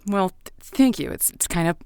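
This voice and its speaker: An actress playing a flustered late-night radio advice host who stammers and waffles. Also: female voice, on the low side, expressive, normal speed, darkish tone, smooth, no room sound, clean studio sound. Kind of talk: audio comedy drama.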